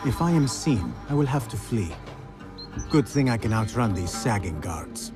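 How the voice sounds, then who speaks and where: A man's voice: medium pitch, big pitch swings, normal speed, over music. An actor playing a cocky, playful and ambitious young male thief in a video game cutscene. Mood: quietly confident. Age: young adult.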